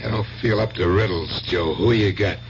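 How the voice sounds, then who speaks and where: An actor in a radio drama playing a worried, streetwise older uncle, gruff and familiar. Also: male voice, deep voice, normal speed. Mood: groggy, irritated. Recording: dull, very noisy old radio recording.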